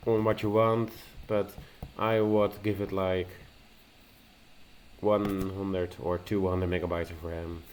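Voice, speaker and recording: Male tutorial host, low and unhurried, halting and a little nervous, faint hiss behind. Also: darkish tone. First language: Dutch. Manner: nervous, hesitant, with many fillers and restarts. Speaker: tech video host. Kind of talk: casual screen-recording tutorial.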